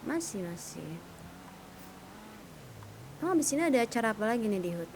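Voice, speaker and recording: A woman's voice: on the high side, very animated, a little fast. A woman chatting casually and playfully while streaming a roleplay game, full of colloquial exclamations. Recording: clearly noisy game audio.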